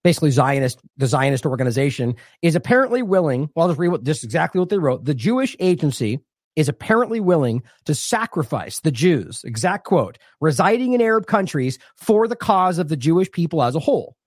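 Higher-voiced male news commentator. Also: big pitch swings, fairly quick, dry recording, studio quality. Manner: discursive, self-correcting, reads quotes aloud. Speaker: independent news show host. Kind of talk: commentary over played clips.

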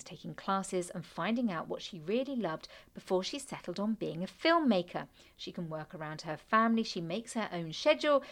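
A woman with a British accent hosting a podcast interview, effusive and enthusiastic, often calling things lovely. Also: fairly low pitch. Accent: British English. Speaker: podcast host.

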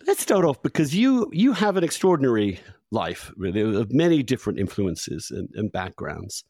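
A man's voice: medium pitch, very animated, moderate pace, darkish tone, husky, no echo, studio quality. A man hosting a podcast, introducing his guest and asking eager, interrupting follow-up questions. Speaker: podcast host. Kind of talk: conversational interview.

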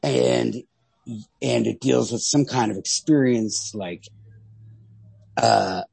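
A man's voice: medium pitch, slow, vocal fry, a little mellow, husky, no echo. A man co-hosting a podcast, discussing literature casually and thinking aloud in a tentative, hedging way. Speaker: podcast co-host.

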